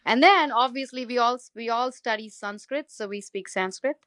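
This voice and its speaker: A woman from India speaks, her voice sitting fairly high. She explains things emphatically as a guest singer, spelling words out. The sound is dry and close. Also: moderate pace, fairly bright tone, no echo. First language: Gujarati. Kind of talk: interview conversation.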